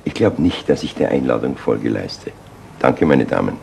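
An actor playing a middle-aged Munich chief inspector in a crime radio play, with a Bavarian accent and a dry, ironic, courteous authority. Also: male voice, very deep voice, expressive, normal speed, vocal fry, heavily acted. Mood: wry. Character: wry, unhurried male Munich police chief inspector. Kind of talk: 1970s German crime radio play acting. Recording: noisy old 1970s radio recording.

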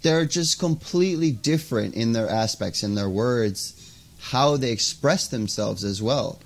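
A young man from the United States, a podcast guest talking casually and haltingly, with many "you know"s, over an earphone mic on a video call. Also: medium pitch, expressive. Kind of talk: interview conversation. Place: indoors by a window, video call.

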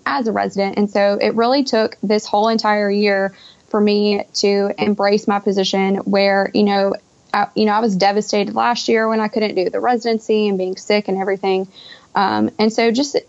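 A young woman talks as an interview guest in a conversational way. Her voice has a gravelly fry and stays fairly even in pitch. The sound is a dull internet call. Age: young adult. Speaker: podcast guest, pharmacist. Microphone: Skype call.

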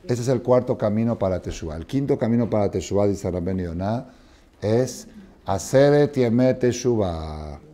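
A man in his sixties teaching a Torah class in a study hall, expressive and often asking his listeners whether they follow. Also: fairly low pitch, normal speed. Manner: expressive, questioning listeners, acting out dialogue. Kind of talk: animated Torah lesson with parables. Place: study hall with wooden chairs, indoors.

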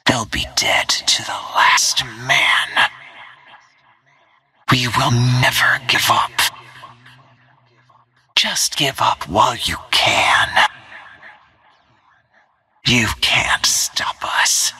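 A woman's voice: a bass voice, pushed and bright, heavily acted. Whispering, cold-blooded female assassin. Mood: cold, vengeful. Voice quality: breathy, hissing whisper. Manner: menacing, controlled, deliberate. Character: cold, deadly, devoted covert female assassin. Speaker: video game character voice actor. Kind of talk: video game combat voice lines.

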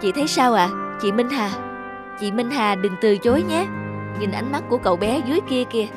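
A man's voice: very high voice, expressive, brisk, over music. A man playing a suave, persuasive television dating show host in a cartoon, formal, showy and coaxing.

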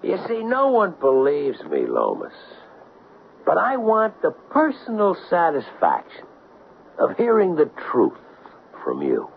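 Wry, dogged former police sergeant, very animated and creaky, sardonic 1970s radio drama delivery. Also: male voice, on the high side, measured pace, full character voice. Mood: wry, probing. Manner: sardonic, probing. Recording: dull lo-fi 1970s radio broadcast, hissy.

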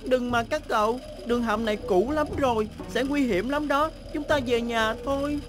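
A woman's voice: on the high side, normal speed, natural voice. A woman voicing a timid, worried train who pleads anxiously in a dubbed children's cartoon. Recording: music behind the voice.

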